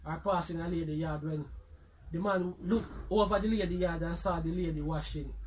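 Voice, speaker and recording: A man's voice: on the high side, steady tone, normal speed, darkish tone, poor audio. A man with a Jamaican accent hosting and telling comic stories in Patois-tinged speech. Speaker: storyteller host. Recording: faint background noise.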